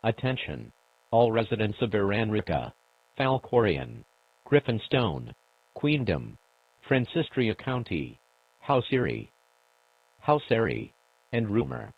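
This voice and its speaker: A man's voice: on the low side, very animated, slow pace, creaky, long pauses, dry recording. A man reads a scripted emergency broadcast announcement in a formal, stern, official manner. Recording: muffled, band-limited emergency broadcast audio.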